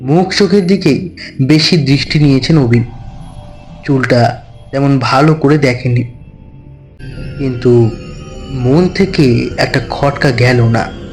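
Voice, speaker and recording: A male narrator reads a thriller tale at an unhurried pace in a mid-pitched voice. He pauses deliberately for suspense, dramatic in delivery, with music playing underneath. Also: darkish tone. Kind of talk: dramatic scripted horror story narration.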